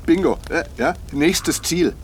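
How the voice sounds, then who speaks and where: An actor playing a talkative, sarcastic city husband in a naturalistic radio drama, rambling and mocking. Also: male voice, fairly high pitch, slow pace, a little hiss or hum. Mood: gleefully sarcastic.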